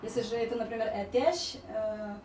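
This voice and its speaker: High-voiced female vocal coach in a roomy space. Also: fairly even intonation, moderate pace, background noise. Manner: explanatory, demonstrating vowels and sung warm-ups. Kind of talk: informal spoken singing lesson with explanations. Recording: roomy echo, distant mic, music behind.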